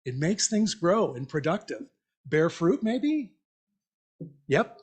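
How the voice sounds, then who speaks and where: Male lay preacher, somewhat high-pitched, thoughtful and conversational, leading an interactive message for a congregation. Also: big pitch swings, takes it slowly, slightly rough. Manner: conversational, reflective, repeats listeners' answers back. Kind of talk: interactive sermon, asking the congregation questions. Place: church meeting, speaking to a congregation.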